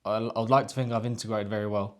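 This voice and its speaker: A man's voice: on the low side, steady tone, racing. Hesitant man. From Essex, England. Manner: hesitant, many restarts, laughs at himself. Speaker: vlog co-host. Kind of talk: casual chat.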